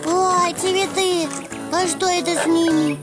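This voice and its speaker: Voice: very high-pitched, fairly even intonation, slow. A performer voicing a cheerful, eager little boy donkey in a children's cartoon, with playful exclamations. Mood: curious, busy. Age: child character. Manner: exclamatory, playful, full of little effort noises. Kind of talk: cartoon dubbing. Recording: music behind.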